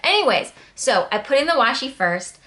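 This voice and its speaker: A woman's voice: high-pitched, very animated, normal speed, bright, forceful tone. An American woman from Connecticut talking casually through a planner walkthrough, wandering into chatty asides. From Connecticut, New England, United States. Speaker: planner channel host.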